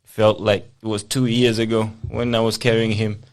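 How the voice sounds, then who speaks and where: Playful low-voiced man hosting a chat. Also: little pitch movement, normal speed. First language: not English. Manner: playful, laughs often, repeats words. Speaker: podcast host. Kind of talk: casual interview chat.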